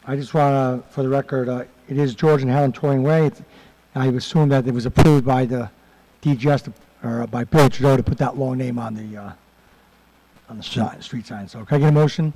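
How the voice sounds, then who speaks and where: A man chairing a city council committee meeting, calling on members and moving through votes in a halting, procedural way. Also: mid-range voice, fairly even intonation, dark tone, long reverb tail, rough recording. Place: council chamber.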